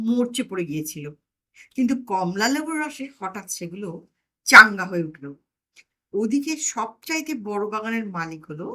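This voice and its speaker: A woman narrating a comic audio story in an expressive, emphatic storytelling manner. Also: fairly high pitch.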